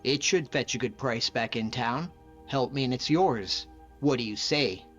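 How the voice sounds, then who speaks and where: A man playing a friendly, modest lone-wolf hunter as a video game companion, speaking in an earnest, measured way. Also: mid-range voice, a bit bright, faint music behind, close to an everyday voice. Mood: friendly, persuasive.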